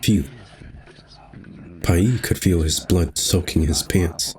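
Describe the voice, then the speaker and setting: Male game narrator and host, low-pitched delivery, faint noisy ambience underneath. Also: takes it slowly, darkish tone. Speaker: gaming video host and narrator. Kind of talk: reading visual novel narration aloud, with casual commentary.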